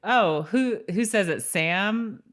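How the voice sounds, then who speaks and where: A woman's voice: medium pitch, animated, takes it slowly. A woman co-hosting a podcast, chatting casually about a TV show. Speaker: podcast co-host. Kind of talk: casual chat about a TV episode.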